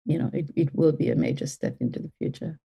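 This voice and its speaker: A woman from Mauritius speaks as an interview guest, her voice low and mellow. She thinks aloud, circling back with fillers and restarts as she builds each answer. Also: fast talker, gravelly, husky. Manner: thoughtful, with many fillers and restarts. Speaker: guest, health tech CEO.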